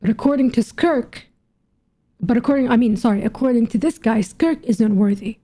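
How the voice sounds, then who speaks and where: A woman's voice: on the high side, normal speed, dark tone. A woman streamer giving casual game commentary, thinking aloud in a musing, questioning, informally sweary way. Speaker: gaming streamer reacting to game story.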